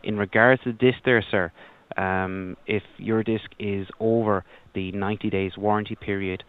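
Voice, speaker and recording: A man with a fairly low voice takes a customer support call over a narrow, tinny phone line. He is polite and apologetic, feeling his way haltingly through his sentences. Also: fairly even intonation, clear, resonant tone. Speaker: customer service agent. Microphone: telephone.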